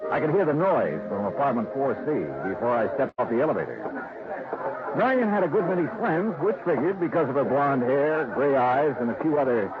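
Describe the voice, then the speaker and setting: An American man playing a wry, world-weary insurance investigator in a hardboiled 1950s radio drama. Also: medium pitch. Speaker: lead actor and narrator of a detective series. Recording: very noisy, narrow-band old radio recording.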